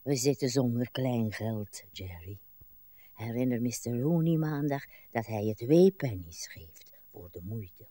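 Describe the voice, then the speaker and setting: An actress playing a weary, plaintive old wife in a radio drama, by turns murmuring and insistent. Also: female voice, extremely low pitch, expressive, dark tone, no echo, exaggerated voice. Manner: slow, theatrical, swinging between murmurs and cries. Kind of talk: broadcast radio drama acting.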